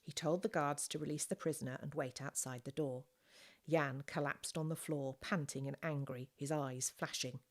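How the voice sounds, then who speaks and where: Female audiobook narrator, low register, scripted storybook reading. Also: steady tone, normal speed. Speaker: audiobook reader.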